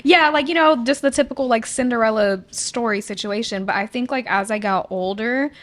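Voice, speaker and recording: A woman's voice: fairly high pitch, brisk, fairly bright tone. A woman in a casual podcast chat, upbeat and chatty, filling her talk with "like".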